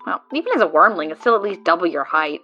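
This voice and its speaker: A woman's voice: lowish voice, animated, quick pace, highs cut off, soft background music. A woman voicing a sarcastic, exasperated female guide who swears often, in a fan audio drama.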